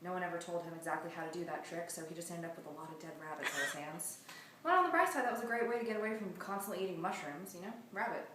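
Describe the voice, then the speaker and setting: A woman's voice: lowish voice, very fast. Echoey, distant woman telling a rambling story. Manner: rambling, conversational asides. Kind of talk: casual improvised comic storytelling. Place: echoey room, far from mic. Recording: echoey room, distant mic, faint noise.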